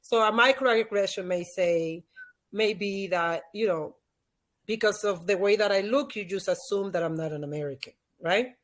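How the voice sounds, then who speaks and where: A woman with a Dominican accent, a native Spanish speaker, talks in a medium-pitched voice. She explains things conversationally and emphatically, like an expert guest in an interview. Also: moderate pace. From Dominican Republic. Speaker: podcast guest, leadership expert and former teacher.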